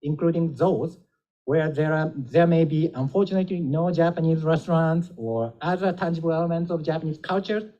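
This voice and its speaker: A man delivers a formal introduction speech, measured and slightly halting. His voice sits a little high but mellow. The recording is dull and lo-fi. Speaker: embassy minister introducing a lecture.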